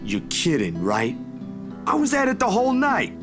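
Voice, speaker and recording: Young male game character, lively swooping delivery, teasing and earnest, guitar music behind. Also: sits high, normal speed. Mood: earnest, incredulous. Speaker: voice actor in a visual novel game. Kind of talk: acted game dialogue.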